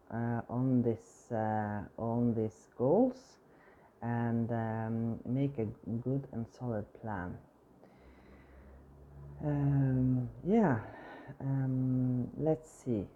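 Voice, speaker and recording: A man's voice: mid-range voice, steady tone, drawn-out words, phone-quality sound. A man giving a tarot reading with a scratchy, strained voice, hesitant and full of fillers and restarts. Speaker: tarot reader.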